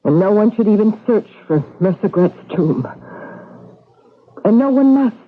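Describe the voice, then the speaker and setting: A muffled old radio recording of an anxious Egyptian woman steeped in legend. Her voice is husky and halting, and she speaks with dramatic dread of ancient forces. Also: medium pitch, lively intonation. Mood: ominous, insistent. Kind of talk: vintage radio drama acting.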